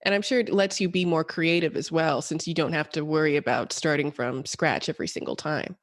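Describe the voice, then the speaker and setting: A young woman hosting a podcast, asking a guest measured questions from a small room at home into a desk microphone on a boom arm. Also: no room sound, clean studio sound. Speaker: podcast host. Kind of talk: interview questions.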